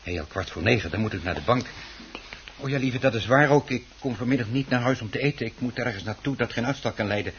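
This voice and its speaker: A meek, henpecked husband played by a man in a radio drama, placating and hesitant in a medium-pitched voice. The old recording is dull with slight hiss. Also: quick pace, fairly bright tone, natural voice. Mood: resigned, placating. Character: meek, henpecked middle-aged husband, devoted hobby gardener. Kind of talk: Dutch radio play acting.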